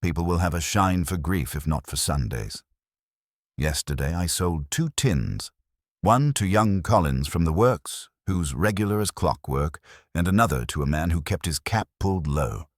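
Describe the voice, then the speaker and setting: A man's voice: sits low, lively intonation, normal speed, no room sound, studio quality. A man voicing an observant, forthright woman who keeps a hardware shop, plain-spoken, wry and chatty, in a story.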